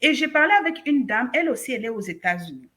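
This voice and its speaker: A woman's voice: fairly high pitch, big pitch swings, fast, a bit bright. A woman from Congo, a business coach speaking emphatically and persuasively in a casual interview. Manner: emphatic, persuasive, rhetorical questions, mixes in English phrases.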